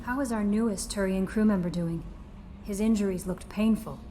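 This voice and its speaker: A woman's voice: mid-range voice, normal speed, warm tone, a little hiss or hum, lightly acted. A woman voicing a friendly, caring young crew member in a video game. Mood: tender, concerned. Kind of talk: video game dramatic dialogue.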